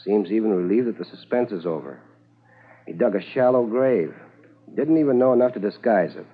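A man playing a calm, capable police corporal in a 1950s radio drama, with a husky voice. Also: lowish voice, a little fast. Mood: measured, reporting. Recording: tinny 1950s radio recording.

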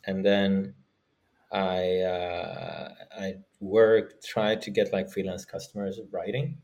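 A man from Norway speaking casually as an interview guest, halting as he thinks aloud. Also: sits low, level tone, creaky, darkish tone. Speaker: guest, startup founder.

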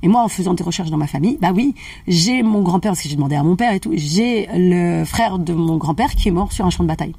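A woman's voice: on the low side, expressive, fast, gravelly, dark tone, husky, no room sound, distant mic. A woman practitioner explaining family constellations in casual conversation. She checks in often with her listener. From family of Algerian roots.

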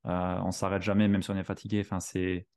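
A man's voice: deep voice, completely flat delivery, fast, darkish tone. A man from Alsace hosting a podcast interview, talking hesitantly with many fillers. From Alsace, France. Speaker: podcast host. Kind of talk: conversational interview.

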